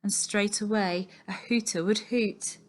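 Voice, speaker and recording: A woman reading a children's story aloud as narrator, expressive and giving lists emphatic weight. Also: mid-range voice, measured pace. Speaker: story reader.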